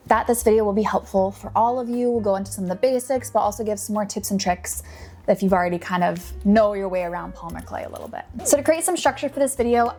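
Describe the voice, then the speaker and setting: A young woman casually chatting through a clay tutorial in her small home studio, a little hoarse with some vocal fry, upbeat, often dropping to a whisper. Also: fairly high pitch, darkish tone. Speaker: polymer clay artist and small business owner.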